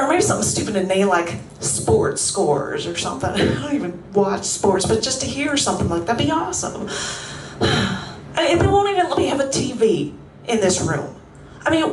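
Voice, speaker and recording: Lady acting out a jittery locked-up woman with a fake southern drawl, kinda high voice, roomy sound from a ways off. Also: recorded from a distance, slight background noise. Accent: put-on Southern US. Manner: rambling, stammering, nervous laughs. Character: confined woman, chatty, restless, feigning craziness. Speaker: performer of a solo stage monolog. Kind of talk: live theatrical monolog. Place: coffeehouse stage before a live audience. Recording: roomy room, mic set back.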